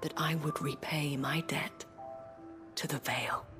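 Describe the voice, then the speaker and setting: A worldly, gracious former courtesan in a fantasy game. She speaks very slowly and softly, with a breathy, genteel, storyteller's delivery. Faint music plays underneath. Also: low-pitched, steady tone. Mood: grateful, resolute. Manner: measured, genteel, eloquent, storytelling. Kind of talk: dramatic video game cutscene acting.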